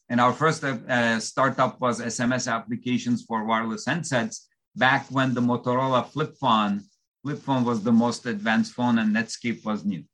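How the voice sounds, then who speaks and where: Turkish guy in his 50s, slight accent, bright crisp voice, explains things slow and steady. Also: mid-range voice, rough recording. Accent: light shifting foreign accent. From Turkey. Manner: measured, explanatory storytelling. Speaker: startup founder, guest. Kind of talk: interview answers.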